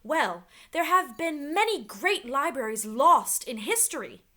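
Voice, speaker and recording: A wise, commanding enchantress from a storybook, voiced by a woman reader. She speaks formally and deliberately, in a bright, high voice with expressive rises and falls. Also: exaggerated voice. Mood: solemn. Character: wise, commanding enchantress librarian giving solemn missions.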